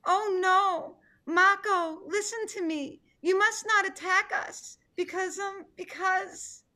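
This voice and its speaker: A woman's voice: very high-pitched, steady tone, slow, bright, forceful tone, full character voice. A middle-aged woman playing a patient, fretful, motherly sea turtle with coaxing delivery in a play reading. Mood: alarmed. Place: living room indoors. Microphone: computer mic on video call.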